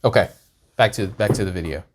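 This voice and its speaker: A man hosting a casual reaction video, rambling in a halting way and often repeating his words as he talks to himself. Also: sits low.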